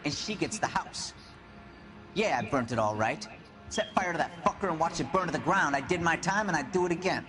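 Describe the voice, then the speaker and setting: An actor playing a defiant, bitter 1940s gas fitter in a video game, gruff and mouthy. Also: male voice, on the high side, lively intonation, brisk, music in the background, lightly acted. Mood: bitter, angry. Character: defensive, bitter 1940s working-class male gas fitter. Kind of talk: dramatic video game acting.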